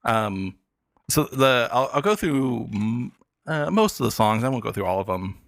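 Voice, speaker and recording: A man chatting casually about the arts on a podcast, explaining things in a halting way with lots of filler words. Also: medium pitch, animated, gravelly, a bit bright, dry recording. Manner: hesitant, filler-heavy explaining. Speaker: podcast guest reviewing pop albums. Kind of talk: casual arts talk chat.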